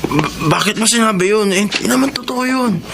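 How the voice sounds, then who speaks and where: An actor playing a meek, abused young son, hesitant and pleading, with a Filipino accent in radio drama style. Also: male voice, high-pitched, fairly quick, vocal fry, background noise. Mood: weak, anxious. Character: meek, homely teenage son, abused pedicab driver.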